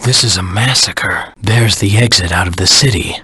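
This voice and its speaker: Brooding video game antihero, very husky growl, hard-edged and forceful, terse, grim, menacing, vengeful. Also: male voice, deep voice, normal speed, no echo, clean studio sound, heavily acted. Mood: pensive, wary. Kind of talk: video game voice acting, dramatic monologue.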